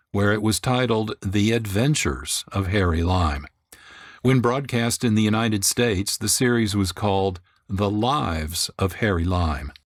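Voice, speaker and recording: A man hosting a radio programme with measured, scripted announcer-like narration. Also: on the low side, dry recording, studio quality. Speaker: producer and host.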